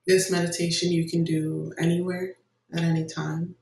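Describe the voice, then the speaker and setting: A meditation guide giving gentle, unhurried instructions with long pauses between phrases. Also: mid-range voice, little pitch movement, gravelly. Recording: roomy echo, distant microphone.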